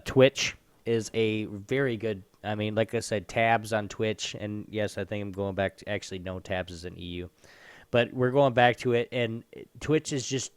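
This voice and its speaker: A man's voice: on the low side, no echo. A man co-hosting a gaming show, talking casually in an opinionated, slangy way.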